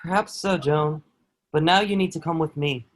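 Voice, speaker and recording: High-voiced man acting a proud, dutiful soldier. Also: normal speed, lightly acted. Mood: earnest, troubled. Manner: earnest, formal archaic phrasing. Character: Burgundian soldier, Joan's captor, proud dutiful lackey, secretly awed. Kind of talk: table-read stage acting of historical drama.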